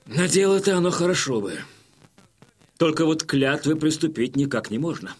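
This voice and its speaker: An older actor playing a modest but crafty elderly Cossack chieftain, speaking humbly and deliberately in an audio drama. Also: male voice, a little high, animated, breathy edge. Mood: measured. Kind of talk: Russian audio drama acting.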